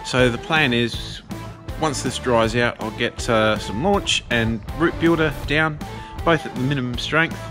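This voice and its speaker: A middle-aged man, hosting a lawn care vlog outdoors in a sunny backyard and explaining his plans step by step, filmed on an action camera held at arm's length. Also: medium pitch. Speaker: lawn care channel host. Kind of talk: casual how-to vlog talk. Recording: music playing underneath.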